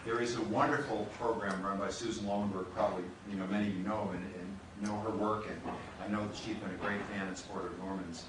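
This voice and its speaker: A man's voice: fairly low pitch, steady tone, quick pace, hardly any pauses. An American man, a university dean, moderating a live public discussion and inviting questions. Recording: echoey hall from far off, noisy.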